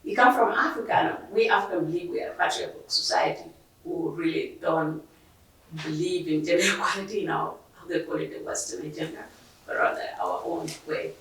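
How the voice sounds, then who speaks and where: A young woman from South Sudan asks a long question from the audience in a low, gravelly voice. She is earnest and hesitant, restarting her sentences. The sound is very echoey, as if caught by a distant mic in a hall. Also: fairly quick, fairly bright tone, a little hiss or hum. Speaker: graduate student asking a question. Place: library event room. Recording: very echoey room, voice from afar.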